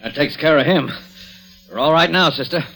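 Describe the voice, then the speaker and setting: An actor playing a gruff, bossy policeman in a 1940s radio drama, with an American accent and brusque, slangy delivery. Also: fairly high pitch, normal speed, pushed and bright, faint music behind, poor audio. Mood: brisk.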